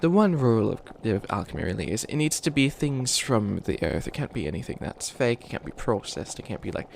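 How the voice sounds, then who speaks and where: A man's voice: lowish voice, sing-song, a little fast, vocal fry, darkish tone, slight background noise. A man voicing an ancient bunny witch, a failed villain who is flustered and kindly, rambling and stammering through a comedic ASMR roleplay. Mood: rambling, encouraging. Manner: rambling, stammering, apologetic, trails off. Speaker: mentor to a new apprentice.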